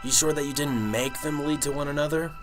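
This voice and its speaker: A man's voice: on the high side, fairly even intonation, a little fast, faint music behind, close to an everyday voice. A man playing a cynical, sarcastic police detective who sounds skeptical and confrontational, in an amateur radio drama. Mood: accusing.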